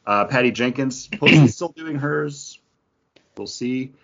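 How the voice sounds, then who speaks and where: A man's voice: mid-range voice, measured pace, lo-fi sound. An American man co-hosting a podcast, chatting in a casual and informal style. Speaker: podcast co-host. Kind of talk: casual, joking chat.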